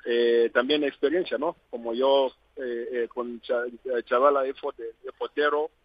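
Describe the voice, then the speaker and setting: A man's voice: medium pitch, fairly even intonation, no room sound. Hesitant Zambian man on a muffled line. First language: not Spanish. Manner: halting, searching for words with many fillers. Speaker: interview guest. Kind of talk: phone interview.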